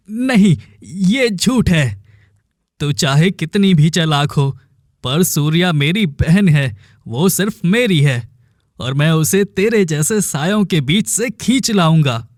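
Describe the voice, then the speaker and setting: A man as young cartoon hero, high male pitch, deliberate slow pace, earnest, devoted brother, dramatic. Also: expressive, no echo, studio quality. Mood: defiant, vowing. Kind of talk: cartoon story acting.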